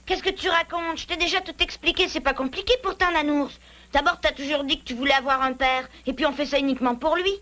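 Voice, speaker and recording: A woman's voice: sits high, fairly even intonation, pushed and bright. A woman voicing a confident, kind young beaver boy in a French cartoon, youthful and persuasive. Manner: brisk, youthful, reassuring. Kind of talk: cartoon dub acting.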